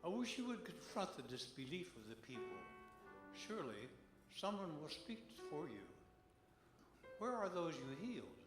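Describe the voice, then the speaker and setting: Male devotional reader, earnest and deliberate, widely varied intonation, reverberant room with music underneath. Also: on the high side, sing-song. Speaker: reader at a church Good Friday service. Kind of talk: dramatic devotional reading, rhetorical questions. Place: large church sanctuary. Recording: echoey church, music behind.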